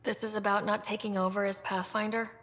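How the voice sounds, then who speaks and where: An actress playing a sincere, supportive young leader in a video game, inquisitive, with a creaky edge to the voice. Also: female voice, medium pitch, little pitch movement, a little fast, fairly bright tone, close to an everyday voice. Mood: sympathetic. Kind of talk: video game dialogue acting. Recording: long reverberant hall sound.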